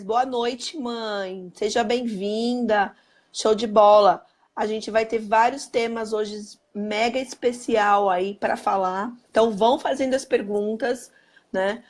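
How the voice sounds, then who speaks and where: A hoarse woman with a Brazilian Portuguese accent, hosting a live stream in an upbeat way and greeting viewers by name. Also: on the high side. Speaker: host.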